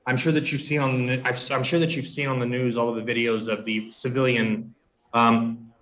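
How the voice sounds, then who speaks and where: A man's voice: medium pitch, flat delivery, a bit bright. Urgent male guest on a muffled line. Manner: emotional, urgent, halting. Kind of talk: radio interview.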